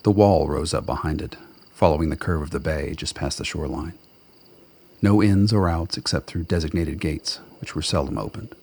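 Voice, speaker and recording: A man narrating a radio play with a gravelly, husky voice and measured, dramatic storytelling delivery. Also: a bass voice, darkish tone.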